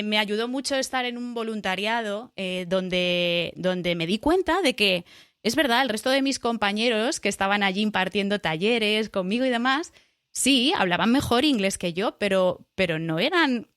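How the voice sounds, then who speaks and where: A woman's voice: medium pitch, normal speed, a bit bright, clear, resonant tone, no echo, clean studio sound. A middle-aged woman from Spain with a Spanish accent, chatting as a podcast guest, talking in a loose way full of restarts and fillers. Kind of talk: casual interview chat.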